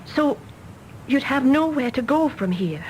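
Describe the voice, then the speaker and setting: A woman's voice: high-pitched, lively intonation, unhurried, slight background noise. An actress playing a solemn, lonely governess in her thirties in a radio drama, earnest and intense. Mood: nervous, pleading. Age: 30s. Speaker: actor. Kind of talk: British radio drama acting.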